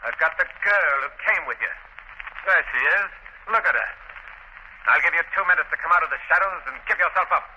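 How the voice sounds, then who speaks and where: Scheming, scornful crime boss, 1940s radio drama. Domineering, forceful, on muffled noisy old recording. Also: male voice, fairly high pitch, fairly quick. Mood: threatening. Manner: sneering, domineering. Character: ruthless, scornful, scheming male criminal boss.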